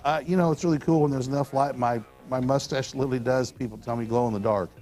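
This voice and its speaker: An older man hosting an astronomy show in a studio, hoarse after a weekend of talking, with a folksy, rambling way of telling stories. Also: medium pitch, steady tone, fast talker, a little mellow, soft background music. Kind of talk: casual studio chat. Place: studio with telescopes on display.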